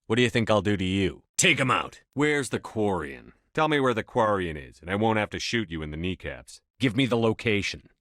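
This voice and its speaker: A man playing a tough, resolute military space commander in a video game, speaking in a terse, commanding, measured way. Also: lowish voice, animated, a bit bright, no echo, clean studio sound. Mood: menacing, urgent. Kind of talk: scripted video game dialogue.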